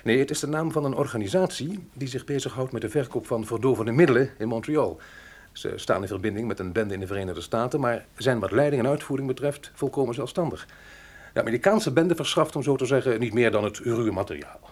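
A cultured, self-important male intellectual in a radio drama, played by an actor. His husky voice sits at a middling pitch, and he phrases things with affected, wordy pomp. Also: a little fast, darkish tone, close to an everyday voice. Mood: confiding. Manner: pompous, affected, hesitant hums. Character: pompous, cultured, talkative male intellectual with a shifty streak. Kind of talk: radio play dialogue.

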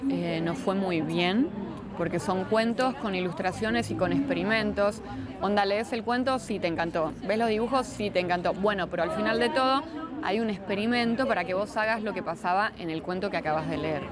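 A woman with an Argentine Rioplatense accent talks in an informal, slangy and opinionated way as an interview guest. Her pitch is average, and a busy crowd with urban noise is clearly audible around her. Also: a little fast. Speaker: interviewed guest, children's science entertainer and writer. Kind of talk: casual chat, answering interview questions. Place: busy brewery bar hosting an event. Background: crowd and street noise.

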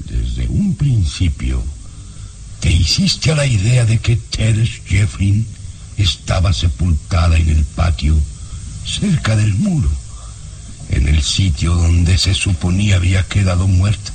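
An actor playing a stern, secretive baron and father in a melodramatic radio drama, commanding and deliberate. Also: low-pitched, very animated, vocal fry, a little mellow, exaggerated voice. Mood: grave, evasive. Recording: faintly noisy old radio recording.

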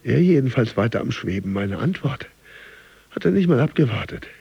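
A disgruntled office worker in a radio play. His voice is husky and gravelly, swinging between grumbling emphasis and breathy muttering, neurotic and brooding. The recording is a little dull, with faint music behind. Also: medium pitch, sing-song, normal speed, no echo, full character voice. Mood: aggrieved, muttering. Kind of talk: radio drama monologue.

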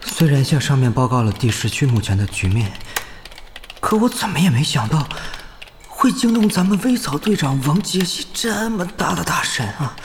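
A man's voice: a little high, very animated, normal speed, noisy background. An actor playing a nervous young guild leader who stammers in front of his idol. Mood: flustered. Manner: flustered, stammering.

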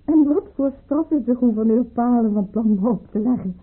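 A woman's voice: a little high, normal speed, slightly rough. A woman acting in a Dutch radio drama as a haughty baroness and mistress at the tsarist court, with a theatrical delivery. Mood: indignant, alarmed. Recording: muffled, tinny old radio play recording.

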